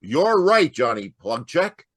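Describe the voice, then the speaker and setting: A man's voice: on the high side, big pitch swings, slow, a bit bright, dry recording, clean studio sound, exaggerated voice. An actor playing an upbeat old-time radio announcer with a polished salesman's delivery. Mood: upbeat, selling.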